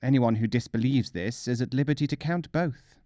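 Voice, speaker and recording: A man voicing a cocksure trickster mullah in a storybook, assured, deliberate and teasing. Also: mid-range voice, mellow, dry recording, clean studio sound, lightly acted. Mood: smugly certain. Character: wry, cocksure male mullah, trickster folk sage. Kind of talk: storybook character voice.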